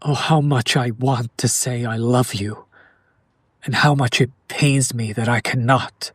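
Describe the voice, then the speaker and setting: A man playing a centuries-old noble vampire in an intimate audio roleplay, formal and theatrical, tormented and romantic, with hushed, dramatic pauses. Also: mid-range voice, fairly even intonation, slightly rough, no echo, studio quality. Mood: yearning. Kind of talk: intimate audio roleplay monologue addressed to the listener.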